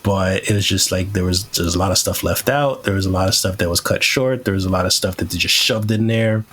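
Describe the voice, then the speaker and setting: A man co-hosting a podcast in casual discussion, often halting as he searches for his words. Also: deep voice, a bit bright, husky. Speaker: podcast co-host. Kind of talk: casual pop-culture discussion.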